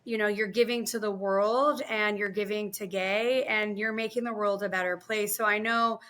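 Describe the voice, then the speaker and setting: A woman's voice: mid-range voice, fairly even intonation, normal speed, fairly bright tone. A woman hosting an interview, asking long questions that ramble, full of fillers. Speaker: interview host.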